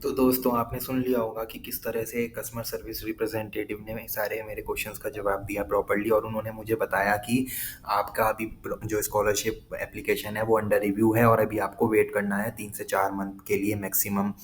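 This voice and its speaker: A man's voice: lowish voice, monotone, a little fast. A man with an Indian accent explaining things informally to viewers as a video host, repeating points and mixing in English phrases. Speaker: scholarship guidance video host. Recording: clearly noisy background.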